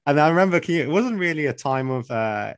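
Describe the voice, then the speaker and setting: A man co-hosting a podcast, talking in an animated, loose way and swearing casually. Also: fairly high pitch, quick pace, a little hiss or hum. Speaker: podcast co-host. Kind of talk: casual interview chat.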